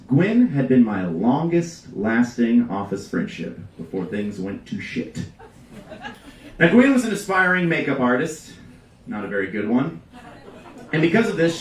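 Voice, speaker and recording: A man's voice: mid-range voice, slight background noise. Man telling comic stories in a roomy venue. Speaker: reader at a live storytelling show. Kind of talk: comedic storytelling read aloud to a live audience. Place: live storytelling venue with audience. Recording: roomy live venue, distant mic.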